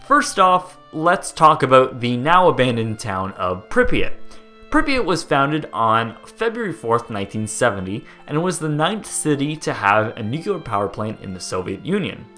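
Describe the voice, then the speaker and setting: Young American guy, upbeat host, animated bright read, casual and joking. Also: medium pitch, moderate pace, soft background music. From United States. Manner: jokey, excitable, swears and trips over place names. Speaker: host narrating a documentary video. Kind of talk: casual narration over footage.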